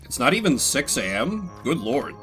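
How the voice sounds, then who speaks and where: An animated man streaming a game playthrough with a bright, forward voice and music underneath. He reads the on-screen text aloud and comments on it in a playful, theatrical way. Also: mid-range voice, normal speed.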